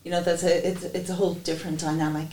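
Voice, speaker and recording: A woman in her fifties from Jersey speaks in a low, even voice with little melody. She explains things in a steady, measured way, recorded in a reverberant room with a faint hiss behind her. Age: 50s. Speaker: guest. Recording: echoey room with faint noise.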